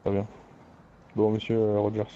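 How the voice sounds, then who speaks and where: A man playing a composed, authoritative crime family boss in an online roleplay game, speaking formally and in a measured way with hesitant pauses. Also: lowish voice, monotone, warm tone, close to an everyday voice. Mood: firm, polite. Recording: dull lo-fi game voice chat, music.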